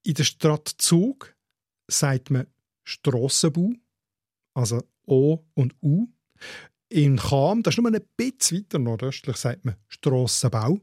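A man with a Swiss German accent, explaining dialect features in a measured way and spelling out sounds slowly. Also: fairly high pitch, sing-song, a little mellow, husky, no echo, clean studio sound. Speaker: dialect expert.

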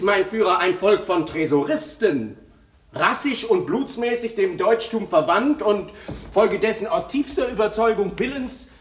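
A man performing a literary monologue as an obsequious, scheming Swiss envoy, formal, deliberate and ingratiating. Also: high-pitched, echoey room. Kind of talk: literary monologue, measured narration.

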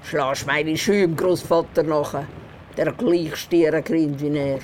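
A gruff but caring old grandmother with a Swiss German accent. She is brusque, scolding and teasing in radio-play dialogue, over faint ambient noise. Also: sits low, fairly even intonation, takes it slowly, a little mellow.

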